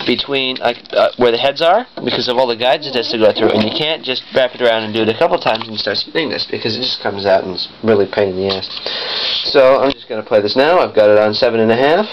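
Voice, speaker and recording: A man giving a casual, rambling product demonstration, often correcting himself as he goes. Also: medium pitch. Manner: rambling, off-the-cuff, self-correcting. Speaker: vintage audio collector demonstrating equipment. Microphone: handheld camera. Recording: dull lo-fi camera mic with noise.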